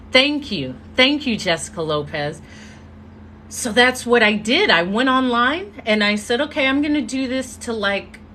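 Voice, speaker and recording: Slow, bright-voiced woman speaker. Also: mid-range voice, a little hiss or hum. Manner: earnest storytelling with drawn-out pauses. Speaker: motivational speaker. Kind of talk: live-streamed motivational talk. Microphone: phone or webcam mic.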